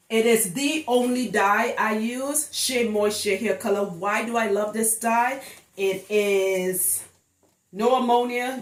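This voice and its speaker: A woman giving a casual, chatty explainer to camera as a hairstylist, emphatic and fond of rhetorical questions. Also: mid-range voice. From Trinidad. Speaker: locs hairstylist. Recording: roomy, echoing indoor sound.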